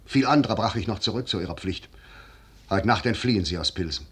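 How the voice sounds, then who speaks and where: An actor playing a shrewd, commanding, noble general in a 1950s German radio drama, speaking in measured, deliberate declamation. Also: male voice, fairly low pitch, fairly bright tone, breathy edge. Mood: solemn.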